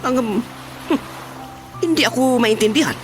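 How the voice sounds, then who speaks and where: A man's voice: extremely high pitch, normal speed, soft background music. A man playing a muddled Indian moneylender in a radio comedy, speaking in broken, pidgin-like phrases. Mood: complaining, puzzled. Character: muddled Indian moneylender complaining about a runaway debtor. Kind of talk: radio comedy drama acting.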